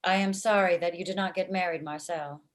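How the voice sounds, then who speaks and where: A woman playing a blunt female matchmaking robot with formal, deadpan phrasing in a comedy table read over video call. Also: fairly low pitch, a little fast, lightly acted. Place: indoor room at home.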